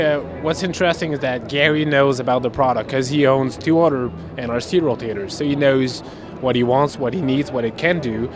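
A man representing a manufacturer, explaining details in a casual interview with frequent restarts. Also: medium pitch. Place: indoor trade show hall.

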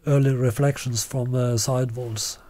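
A man in his sixties presenting a product in an unscripted way, halting and deliberate with long hesitations. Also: medium pitch, steady tone, mellow. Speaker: loudspeaker designer presenting a product. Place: small living room, indoors.

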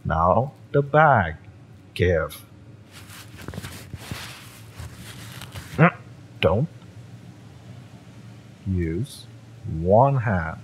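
A fiery mercenary, acted by a man, in an audio drama, with a low voice that swings expressively. He taunts slowly and deliberately, cocky and menacing, over noticeable ambient noise. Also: expressive, heavily acted. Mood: stern, threatening. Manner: taunting, sardonic, drawn-out deliberate delivery. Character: fiery male mercenary, cocky, exasperated, menacing yet familiar. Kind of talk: scripted romance audio roleplay acting.

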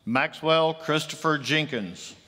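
A man reading graduates' names at a commencement in a slow, measured, ceremonial manner at a podium microphone. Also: fairly high pitch, sharp tone. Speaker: commencement name reader. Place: large auditorium with long reverb. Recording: long hall reverb.

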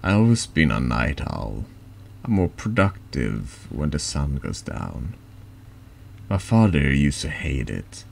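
A man voicing a troubled, cynical, secretive man in a horror game confessional, measured, brooding and polite. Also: very deep voice, big pitch swings, creaky, a little mellow, heavily acted. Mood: cynical, musing.

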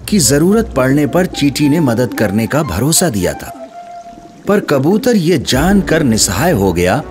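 A man narrating a scripted children's moral story in an expressive storytelling manner. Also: mid-range voice. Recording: music under the voice.

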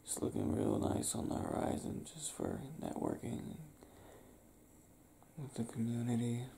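Drowsy, creaky-voiced young man murmuring ASMR. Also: mid-range voice, huge pitch swings, a little slow, a little hiss or hum. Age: young adult. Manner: drowsy, soft, intimate murmur, lying down. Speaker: ASMR roleplay performer. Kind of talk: ASMR roleplay as a sleepy partner waking up. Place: small indoor bedroom, lying on a bed.

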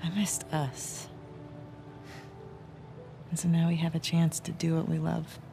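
A confident middle-aged American wife with an appetite for adventure. Her voice has a pronounced creaky rasp and an assured, teasing conversational delivery, in a story-driven video game with music underneath. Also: sits low, monotone, a little slow. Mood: earnest, hopeful. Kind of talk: naturalistic video game cutscene acting.

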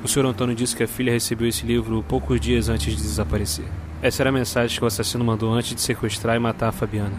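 A man's voice: mid-range voice, little pitch movement, fast, background noise, close to an everyday voice. A man playing a determined, methodical Brazilian police detective in an audio drama. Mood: focused, deductive.

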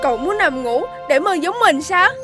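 A very high, childlike voice of a kind little boy in a cartoon folk tale. He speaks earnestly and expressively, with devoted tenderness, over prominent music. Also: expressive, normal speed. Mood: playful, affectionate. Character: small boy, kind, pleading, devoted to a young deer. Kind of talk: animated folk tale dubbing. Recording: music under the voice.

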